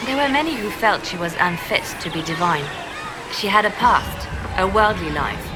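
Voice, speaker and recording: An actress playing a guarded, resolute spymaster in a fantasy video game, speaking in a measured, serious way. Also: female voice, medium pitch, lively intonation, background noise, lightly acted. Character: guarded, resolute female spymaster of a holy order. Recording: loud crowd and outdoor ambience behind.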